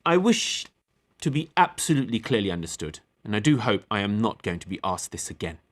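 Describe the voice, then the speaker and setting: A man playing an authoritative, defensive captain giving evidence, speaking formally, deliberately and firmly in a table read of a courtroom play. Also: lowish voice, very animated.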